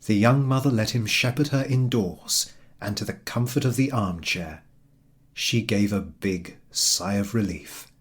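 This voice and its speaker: A man narrating a storybook in an unhurried, dramatic, expressive manner, with a soft husky voice. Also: lowish voice.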